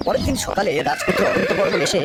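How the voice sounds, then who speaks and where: A man's voice: sits high, racing. A man telling a scripted fairy tale as the narrator of a cartoon. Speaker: storyteller. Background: music.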